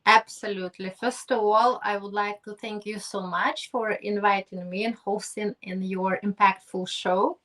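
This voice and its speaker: A woman's voice: medium pitch, fairly even intonation, normal speed, hardly any pauses, a bit bright. A woman from Ukraine, speaking as a guest in an effusive, grateful way with phrasing. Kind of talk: conversational interview. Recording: distant from the mic.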